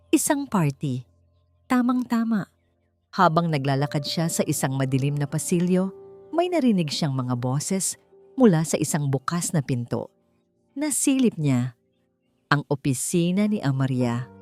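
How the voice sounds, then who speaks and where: Woman w a pretty low voice telling a dramatic story, soft music under it. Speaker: story narrator. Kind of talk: scripted dramatic story narration in Tagalog.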